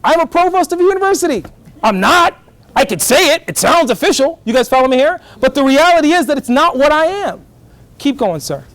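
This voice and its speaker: High-voiced animated male professor. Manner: animated, fast bursts, keeps checking in with the class. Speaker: guest lecturer, professor. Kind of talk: lively classroom lecture. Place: college classroom.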